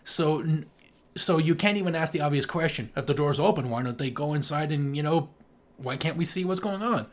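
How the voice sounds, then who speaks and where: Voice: mid-range voice, brisk. An enthusiastic podcast co-host chatting casually about film, speaking emphatically and swearing freely. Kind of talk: casual film review chat.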